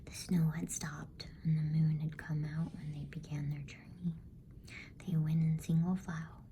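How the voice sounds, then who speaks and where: A woman reading a bedtime story in a hushed, breathy ASMR whisper. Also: deep voice, little pitch movement, normal speed. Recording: faint background noise.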